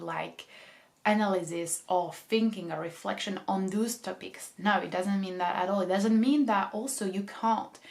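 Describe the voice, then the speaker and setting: Young woman, thoughtful and hedging. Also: mid-range voice. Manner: reflective, hedges often with "I feel like" and "um". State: coming down with a cold. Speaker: book vlogger. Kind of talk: casual talking-to-camera opinion video.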